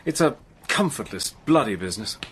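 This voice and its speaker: Resolute army major narrating, brisk and soldierly, low voice. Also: male voice, sing-song, fairly bright tone, a little hiss or hum. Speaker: narrator and lead. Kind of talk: first-person dramatic narration.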